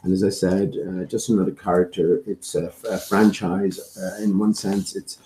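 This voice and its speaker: A slightly hoarse man talking casually and hesitantly on a livestream, musing as he rambles along. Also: deep voice, flat delivery, normal speed, background noise. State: slightly hoarse, occasional coughing. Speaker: livestream host and amateur musician.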